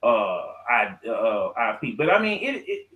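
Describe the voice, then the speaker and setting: An American man co-hosting a casual podcast debate, talking in slang, often halting and repeating himself. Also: medium pitch, big pitch swings, echoey room, lo-fi sound. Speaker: podcast co-host.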